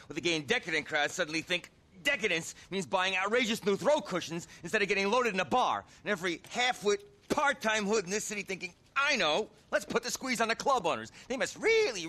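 A high, bright American voice that swings up and down with every complaint. a middle-aged nightclub owner in a game cutscene, flamboyant, world-weary and bitingly sarcastic. He savours each jab theatrically. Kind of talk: video game cutscene acting.

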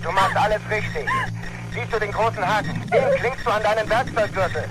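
Man acting high-voiced, terse space commander. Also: a little fast, over music, lightly acted, rough recording. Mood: firm, urgent. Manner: terse, authoritative. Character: male space commander, brisk, commanding, steady under pressure. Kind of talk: German audio drama acting.

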